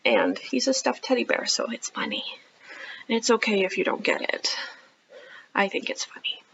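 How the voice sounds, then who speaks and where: A woman leading a storytime for young children as a librarian, talking directly to the kids with questions and pauses. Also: a little high, creaky, a bit bright, husky, whispered, dull audio. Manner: child-directed, asking questions and pausing for answers.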